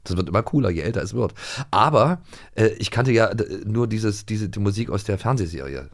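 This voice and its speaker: A man's voice: lowish voice, expressive, fairly quick. A middle-aged man co-hosting a podcast about radio plays, chatting casually and joking enthusiastically. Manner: enthusiastic, joking, hums and sings along. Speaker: podcast co-host.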